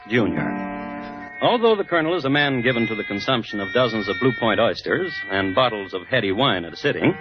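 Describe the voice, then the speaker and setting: An actor as a droll, expressive gentleman crime narrator. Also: mid-range voice, close to an everyday voice. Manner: deliberate, deadpan, wry, formal. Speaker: host. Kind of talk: scripted 1950s radio narration. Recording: muffled 1950s radio broadcast with music.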